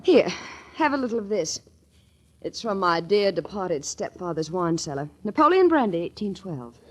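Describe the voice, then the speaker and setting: Coy heiress with lively pitch. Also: female voice, moderate pace, slight background noise. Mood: coy, gracious. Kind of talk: 1940s radio drama acting. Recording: 1940s radio recording.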